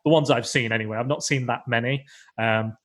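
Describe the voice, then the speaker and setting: A man's voice: medium pitch, fairly even intonation, brisk. Rambling man, casual film chat. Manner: rambling, many restarts and ums. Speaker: podcast co-host.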